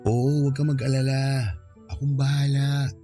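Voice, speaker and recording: A man plays an eager-to-please young Filipino suitor in a radio drama. His voice is warm, dark and mid-pitched, easygoing and respectful, with light music behind. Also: little pitch movement, moderate pace, close to an everyday voice. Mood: confident, teasing. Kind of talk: Tagalog radio drama acting.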